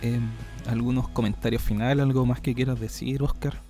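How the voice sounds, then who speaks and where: Man, monotone delivery, casual interview host, faint guitar music behind. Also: mid-range voice, fairly quick. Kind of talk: casual conversational hosting.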